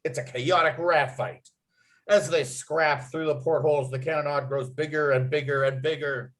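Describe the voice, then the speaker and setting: Male script narrator, higher-pitched, punchy read of stage directions and scene headings. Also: fairly even intonation, moderate pace. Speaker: writer narrating a table read.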